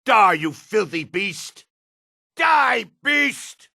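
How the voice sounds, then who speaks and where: A gruff dark elf for a fantasy game, his voice raspy and forceful. He is suspicious and curt, with a sneering edge and pitch that swings widely from line to line. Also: big pitch swings, drawn-out words, no echo, clean studio sound, heavily acted. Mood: battle fury. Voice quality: gravelly, raspy. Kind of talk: video game character barks.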